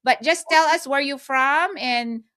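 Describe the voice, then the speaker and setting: A woman's voice: high voice, unhurried, bright, forceful tone. A woman with a Filipino accent hosting an interview and prompting her guest, dropping in Filipino terms of respect. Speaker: host.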